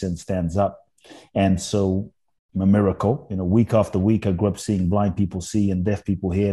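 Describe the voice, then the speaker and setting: A man's voice: on the low side, mellow. A man from Ghana raised in London, a speaker, telling his story earnestly with hesitant restarts. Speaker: podcast guest, inspirational speaker. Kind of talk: reflective storytelling.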